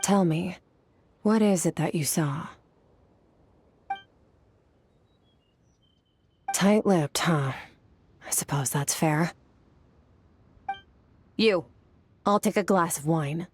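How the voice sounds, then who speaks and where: A low female voice for an aloof, powerful warrior master. The delivery is solemn, blunt and detached, in the style of a game drama. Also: slow, pauses often, a little hiss or hum. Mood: cool, probing. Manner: terse, cool, detached, solemn. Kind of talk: video game character acting.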